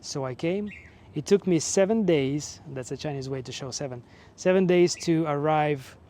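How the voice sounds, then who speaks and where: A man in his forties from Russia, speaking English, telling his own story in a relaxed, measured way with fillers. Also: on the high side. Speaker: vlogger and teacher. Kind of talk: casual personal storytelling.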